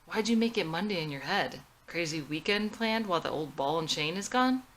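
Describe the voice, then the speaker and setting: A woman's voice: fairly low pitch, expressive, brisk, fairly bright tone. A woman acting an exasperated, sarcastic young married woman in a screenplay reading, with a needling manner. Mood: annoyed, accusing.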